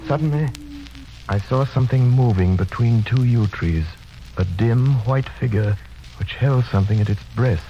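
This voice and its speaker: An actor playing a haunted gentleman doctor, narrating in a formal, dramatic, deliberate 1930s radio drama style. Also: medium pitch, a little hiss or hum, lightly acted. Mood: tense, horrified. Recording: dull old radio broadcast.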